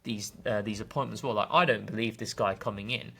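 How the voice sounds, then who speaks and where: A man's voice: lowish voice, a little fast, creaky, pushed and bright, husky. A man speaking as a podcast guest and football fan, informal and discursive, repeating words as he thinks aloud.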